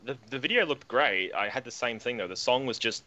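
Brief: animated male co-host, bright tone, hesitant and agreeable, lo-fi mic. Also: mid-range voice, a little fast. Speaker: podcast co-host. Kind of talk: casual chat.

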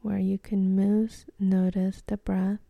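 A woman leading a guided meditation in a soft, breathy, often near-whispered voice, gentle and soothing, with long pauses between phrases. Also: mid-range voice, little pitch movement, no echo.

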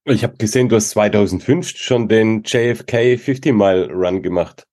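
A man's voice: fairly low pitch, moderate pace, a little mellow. A man hosting a casual interview in an informal, joking way, with English slang mixed in. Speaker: podcast host, interviewer.

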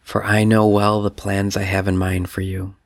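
A man voicing God the Father as a tender, loving male spirit, repeating gentle affirmations. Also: lowish voice, monotone, normal speed, dry recording, clean studio sound, lightly acted.

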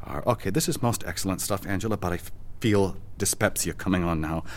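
An actor playing a harried, foul-mouthed, sardonic government bureaucrat in a satirical audio drama, with a theatrical, rambling, sarcastic delivery. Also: male voice, deep voice, fast talker, natural voice. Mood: weary, distracted.

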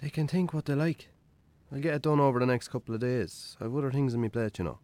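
An actor playing a weary, put-upon Irish bachelor farmer in a naturalistic radio drama, with a dry, sardonic manner. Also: male voice, mid-range voice, quick pace, a little mellow, no room sound, natural voice. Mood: weary, defensive. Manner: wry, sardonic, grudging.

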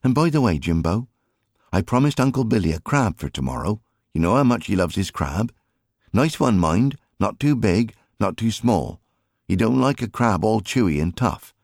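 A man's voice: fairly low pitch, lively intonation, moderate pace, vocal fry, a little mellow, no echo, studio quality, voice pushed up. A man voicing a firm, fond country wife and mother in an audiobook, scolding in folksy, no-nonsense speech. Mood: brisk, fond. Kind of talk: audiobook character acting.

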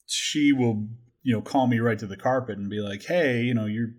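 A man's voice: mid-range voice, moderate pace, slightly rough. A man in his forties chatting casually as a podcast host, rambling and joking with some crude language.

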